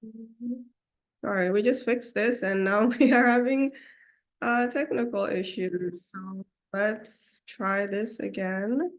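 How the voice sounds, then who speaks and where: A woman's voice: medium pitch, slow pace, low-quality recording. Hesitant woman with a cold. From Seattle, United States. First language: Somali. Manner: hesitant, many ums, restarts mid-phrase. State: getting over a cold. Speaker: community presenter. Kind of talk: online webinar presentation. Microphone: video call.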